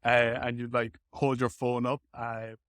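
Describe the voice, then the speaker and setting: A man hosting a casual tech podcast chat, with hesitant, halting phrasing. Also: mid-range voice, monotone, fairly bright tone, no echo, clean studio sound. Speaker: podcast host.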